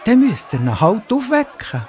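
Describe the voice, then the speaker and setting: A self-assured Bible prophet with a high, very lively voice. He commands and mocks with confidence, in the manner of a children's radio play, over faint noise. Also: moderate pace. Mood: amused mockery. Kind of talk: children's audio drama acting.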